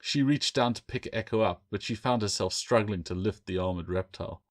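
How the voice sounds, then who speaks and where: A man's voice: on the low side, a little fast, dry recording, clean studio sound. A man narrating a fantasy novel as its author, in a scripted audiobook reading.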